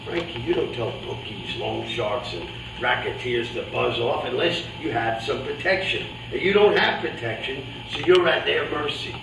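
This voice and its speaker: A man's voice: medium pitch, lively intonation, moderate pace, creaky, hardly any pauses. A man playing a world-weary boxing manager in a staged reading, speaking bluntly and matter-of-factly as he lectures his fighter. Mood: cautioning. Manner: blunt, cautionary, matter-of-fact. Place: live staged reading in a roomy indoor space. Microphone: distant video camera. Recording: echoey, noisy room, distant camera microphone.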